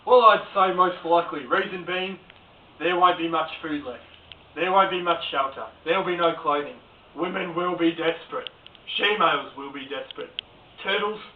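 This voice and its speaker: A man plays a crude doomsday prophet, deadpan, in a fairly high voice. The mock documentary sounds homemade, roomy and phone-thin. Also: lightly acted. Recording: echoey, distant, tinny, noisy home recording.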